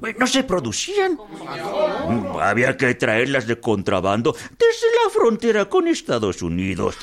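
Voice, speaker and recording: A veteran revolutionary swapping memories in a radio drama. He chuckles and stumbles along slowly, his voice lively and swooping, with a crowd around him. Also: mid-range voice. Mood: amused. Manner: chuckling, halting, stammering storytelling.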